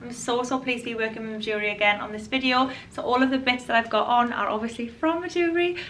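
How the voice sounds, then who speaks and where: A woman in her twenties, a fashion vlogger chatting to camera in a casual, enthusiastic way, her voice a little hoarse from a cold. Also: a little high, fast, fairly bright tone, recorded from a distance, a little hiss or hum. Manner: chatty, enthusiastic, restarts and repeats words. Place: bright room indoors.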